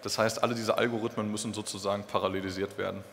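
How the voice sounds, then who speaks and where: A man's voice: lowish voice, level tone, racing, fairly bright tone. A young adult man giving a technical conference presentation in an explanatory, informal way. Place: stage in a concrete-walled event hall. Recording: echoey hall with clear background noise.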